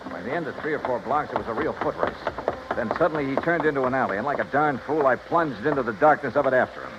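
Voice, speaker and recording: A man's voice: medium pitch, fast talker, close to an everyday voice. A male actor playing a dogged, skeptical, clipped-talking insurance investigator in an old radio detective drama, both in dialogue and narration. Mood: wry narration. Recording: muffled, noisy old-time radio recording.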